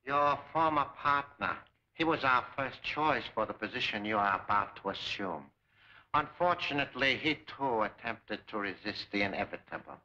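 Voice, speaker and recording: An actor playing a suave, menacing Japanese mastermind in a 1940s film serial, speaking formally with cold, pompous courtesy. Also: male voice, medium pitch, expressive, normal speed, sharp tone. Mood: smoothly courteous. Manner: formal, grandiose, coolly courteous. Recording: dull 1940s film serial soundtrack, music.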